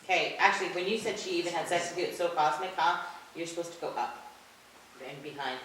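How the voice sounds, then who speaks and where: A woman gives stage directions at a rehearsal. She is brisk and matter-of-fact, with a low, fast voice. The recording is distant and heavily echoing. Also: fairly bright tone. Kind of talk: giving stage blocking notes. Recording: very echoey room, distant microphone, faint hiss.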